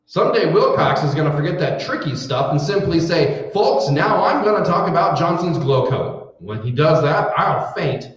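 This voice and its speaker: A man's voice: on the high side, animated, moderate pace, few pauses, natural voice. A man playing a boastful, blustering, folksy husband in a 1940s-style radio comedy. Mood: indignant. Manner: blustery, chatty, theatrical. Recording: very echoey stage, distant dull mic.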